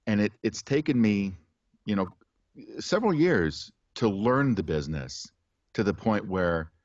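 A middle-aged man, an audiobook narrator, chatting as a guest in an interview with halting restarts and a relaxed chuckle. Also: fairly low pitch, no echo. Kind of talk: casual interview chat. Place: home studio with poster behind. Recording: dry, slightly dull video call audio.

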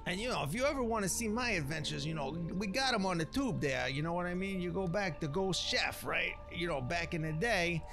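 A man acts out a cocky, slangy former drug cook telling his tale over a video game. The voice sits fairly high for a man and jumps around in pitch, with a crackly edge. He rambles like a folksy tough guy. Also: animated, fairly quick, hardly any pauses, over music. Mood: rambling, cocky. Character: rambling streetwise ex-drug cook recounting a legend. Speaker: let's player. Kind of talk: in-character running game commentary.

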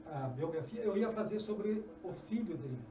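A high-pitched middle-aged man with a Brazilian Portuguese accent tells a long story as an interview guest, lively and prone to restarting his sentences. He sounds set back from the mic in a roomy, echoey studio. Audible background noise sits under his voice. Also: normal speed. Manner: animated storyteller, gestures often, restarts phrases. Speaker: guest, journalist and author. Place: small indoor podcast studio. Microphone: desk mic on a stand. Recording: echoey, distant, dull, noisy.

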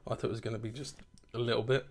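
Man with a gravelly voice. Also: medium pitch, level tone, very fast, darkish tone. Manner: chatty and rambling, laughs at himself. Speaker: beer reviewer. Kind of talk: casual chat to camera. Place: kitchen at home, indoors.

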